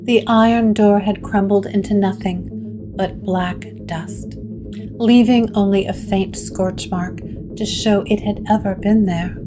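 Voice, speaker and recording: A woman narrating a gothic audio drama from a script, in a deliberate storytelling manner. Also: mid-range voice, steady tone. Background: music.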